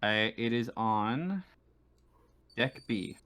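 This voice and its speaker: A man's voice: mid-range voice, lively intonation, very slow, a bit bright. A man casually improvising as game master on an online call, hesitant and thinking aloud as he explains things.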